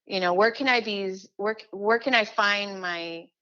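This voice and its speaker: A middle-aged woman from Chile talking as an interview guest, animated, with many restarts and fillers. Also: mid-range voice, moderate pace, highs cut off. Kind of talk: casual interview chat.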